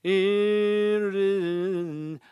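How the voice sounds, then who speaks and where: Slow, clear woman, mournful lament. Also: medium pitch, steady tone, warm tone, dry recording, studio quality. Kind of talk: slow, lyrical rendition of an old patriotic lament.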